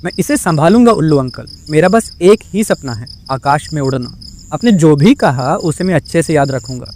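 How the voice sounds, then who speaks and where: A man's voice: on the high side, lively intonation, normal speed, a little mellow, slight background noise. A man playing a dreamy, eager young male deer in a children's cartoon, speaking earnestly. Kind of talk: Hindi children's cartoon dialogue.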